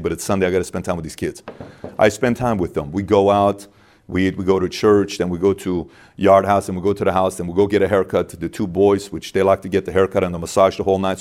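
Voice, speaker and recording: A man's voice: deep voice, darkish tone. A man from Iran in his thirties, speaking as an interview guest, emphatic and repeating lines for stress. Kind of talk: candid interview talk.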